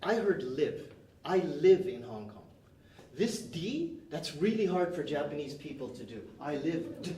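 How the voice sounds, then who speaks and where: A man's voice: fairly high pitch, big pitch swings, measured pace, warm tone. A man teaching an English class, giving emphatic, directive instructions and barking short commands as he leads drills. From moved to Canada at age nine. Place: indoor classroom. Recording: roomy classroom, distant mic, faint noise.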